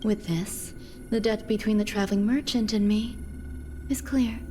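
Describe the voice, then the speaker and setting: A woman playing a composed, mysterious healer in a game cutscene, speaking in a measured, detached, businesslike way. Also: mid-range voice, fairly even intonation, dark tone, a little hiss or hum, natural voice. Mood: calm, matter-of-fact. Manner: measured, detached, matter-of-fact. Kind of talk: dramatic video game cutscene dialogue. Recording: music under the voice.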